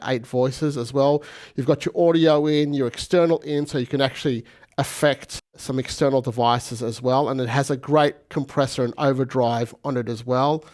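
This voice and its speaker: A man walks through a piece of music gear in a relaxed, joking way. His voice sits at an ordinary middle pitch, and he sounds keen and easygoing. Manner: casual, jokey and enthusiastic. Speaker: gear reviewer. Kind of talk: product review and demo.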